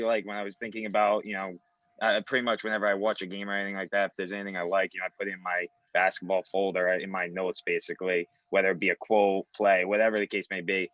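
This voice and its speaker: A man's voice: on the low side, fairly bright tone, dry recording. A man who is an assistant basketball coach, answering in a loose, reflective way full of filler words. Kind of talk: podcast interview answers. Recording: dry, dull lo-fi sound.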